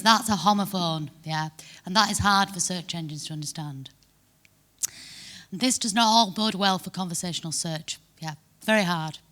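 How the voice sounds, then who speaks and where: A woman's voice: medium pitch, fairly bright tone. A woman from Manchester with a Mancunian accent, giving a chatty conference talk and often checking in with "yeah?". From Manchester, England. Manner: chatty, frequent tag "yeah?" and restarts. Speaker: conference speaker. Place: conference hall.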